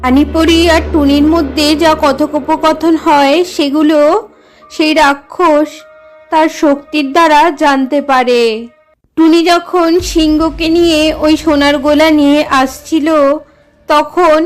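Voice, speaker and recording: The woman narrator between scenes of an animated bedtime story, high-pitched, with soft music underneath. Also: measured pace. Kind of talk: scripted storytelling for children's cartoon.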